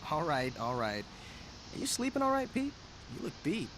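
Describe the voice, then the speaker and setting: A man's voice: fairly high pitch, wildly swooping pitch, moderate pace, background noise. A man voicing a bitter, vengeful young heir in a video game. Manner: bitter, intense.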